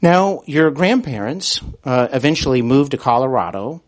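An American man speaking conversationally as a podcast interviewer, attentive and a bit halting in his phrasing. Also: mid-range voice, lively intonation.

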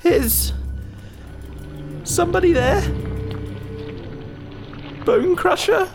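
A man's voice: extremely high pitch, animated, very slow, music in the background, heavily acted. An actor playing a naive, cheerful young man trapped in an alien's fish tank, rambling and talking his way around trouble in a sci-fi audio drama. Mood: nervous. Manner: naive, breezy, rambling and self-justifying.

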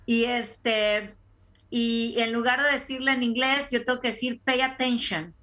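Bright Mexican woman telling lively stories. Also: a little high, a little slow. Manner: lively, laughing anecdotes. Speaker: podcast co-host. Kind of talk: casual chat.

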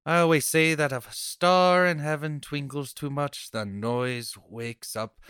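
A man's voice: on the high side, measured pace, a bit bright, smooth, no echo, studio quality. A man playing a nosy, chatty, stubborn off-duty policeman neighbor in a table read of an old radio horror play, with folksy, blustery speech.

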